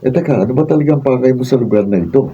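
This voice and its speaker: Man playing a very dark, husky protector. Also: mid-range voice, racing, natural voice. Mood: concerned, warning. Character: protective working man, gruffly warning a woman friend. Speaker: radio drama actor. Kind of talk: Filipino radio drama acting. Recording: distant, muffled raw studio video.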